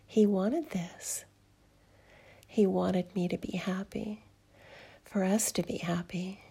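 A woman playing a breathy, doting mother figure. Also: medium pitch, exaggerated voice. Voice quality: breathy, whispery. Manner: slow, soft and murmuring. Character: doting, possessive mother, middle-aged woman. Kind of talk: intimate adult audio roleplay.

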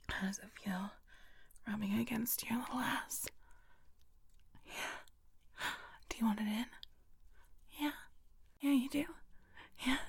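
A woman performing intimate adult audio roleplay in a breathy, whispery voice, with a teasing, dominant manner. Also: fairly high pitch, dry recording. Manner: slow, teasing, dominant murmuring.

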